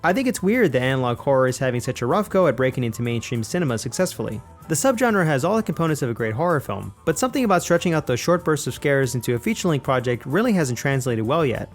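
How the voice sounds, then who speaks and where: Lively male video essayist over music. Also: mid-range voice, fast talker, few pauses. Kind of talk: scripted video essay narration, film criticism. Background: background music.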